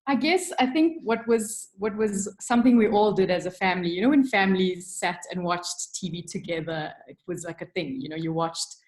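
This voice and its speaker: South african woman, lowish voice, chatty and self-deprecating, lots of ums, video call. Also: normal speed, few pauses, a little mellow. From South Africa (Durban). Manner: candid, self-deprecating, many ums and restarts. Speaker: guest, creative director. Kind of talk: casual webinar chat.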